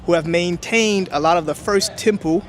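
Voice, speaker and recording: A man's voice: sits high, normal speed, a little hiss or hum. A man whose first language is Hebrew, explaining history emphatically in an interview outdoors. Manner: emphatic, lecturing, with many "right?" checks. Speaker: interview guest.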